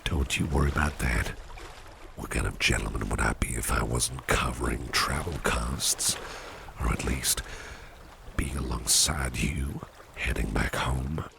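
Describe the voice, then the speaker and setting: Man playing a very deep, gravelly suave boss. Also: background noise, exaggerated voice. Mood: courteous, coaxing. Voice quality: very deep, gravelly, breathy half-whisper. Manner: slow, gentlemanly, reassuring, coaxing. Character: suave, attentive gentleman boss courting an employee. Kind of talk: ASMR roleplay.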